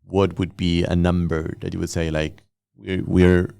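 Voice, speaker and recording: A man hosting a podcast and interviewing guests in a casual, conversational way, with a gravelly voice. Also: sits low, level tone, measured pace, warm tone, no echo.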